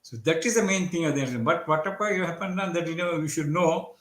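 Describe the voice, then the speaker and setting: A man's voice: a little high, fast talker, fairly bright tone. A man from Sri Lanka, giving earnest interview answers with stumbling restarts. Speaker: guest commentator. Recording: echoey room audio.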